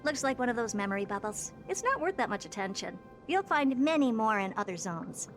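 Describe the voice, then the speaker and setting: A woman's voice: fairly high pitch, big pitch swings, a little fast, soft background music. A woman playing a blunt, self-assured genius scientist in a video game, with a dismissive, self-satisfied manner. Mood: smug, dismissive.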